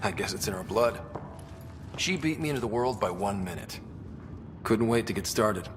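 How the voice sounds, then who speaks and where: A man's voice: lowish voice, animated, moderate pace, noisy background, close to an everyday voice. A man acting as a young, easygoing, adventurous space explorer in a cinematic video game. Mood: wistful, fond. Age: young adult. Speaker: game character actor.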